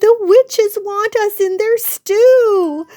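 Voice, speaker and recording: A woman's voice: very high voice, very slow, dark tone, soft background music, voice pushed up, heavily acted. A woman voicing a frightened, panicky male skeleton in a children's storybook, with a screechy, urgent delivery. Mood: panicked. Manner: alarmed, urgent exclaiming.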